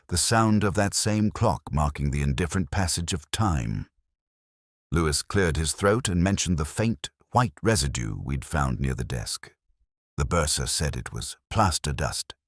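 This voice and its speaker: Very deep, husky male narrator. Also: no echo, clean studio sound. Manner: measured, literary, brooding. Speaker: narrator playing a melancholy detective. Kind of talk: scripted first-person audio mystery narration.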